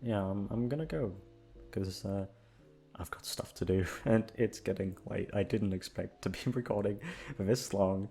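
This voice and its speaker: A man's voice: on the low side, normal speed, dark tone. A young man chatting casually to his listeners in an informal, rambling, sweary way. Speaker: audio channel host. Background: guitar music.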